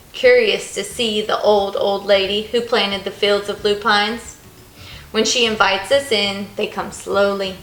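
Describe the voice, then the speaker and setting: A woman reading a children's picture book aloud as an expressive storyteller. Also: mid-range voice. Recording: roomy echo, faint music behind.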